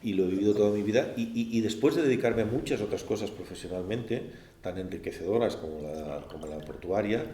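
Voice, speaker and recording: A man in his sixties with a Barcelona Spanish accent, recounting his memories at a panel in a measured, explanatory way, often ending phrases with a questioning tag. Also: lowish voice, mellow. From Barcelona, Spain. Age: 60s. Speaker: former port project manager giving testimony. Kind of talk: oral history recollection at a panel discussion. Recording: roomy echo, faint background noise.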